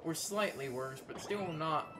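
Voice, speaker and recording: A man chatting casually as a gaming commentator, halting and repeating words as he explains things. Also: a little high, noisy background. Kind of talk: casual unscripted gaming chat.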